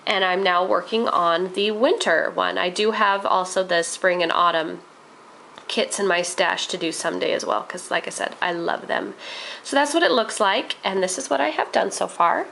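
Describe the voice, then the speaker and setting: A woman's voice: medium pitch, vocal fry, fairly bright tone, slight background noise, rough recording. A young woman chatting enthusiastically about her crafts with lots of ums, a little nasal while getting over a cold. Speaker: crafting vlogger. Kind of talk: casual show-and-tell vlog.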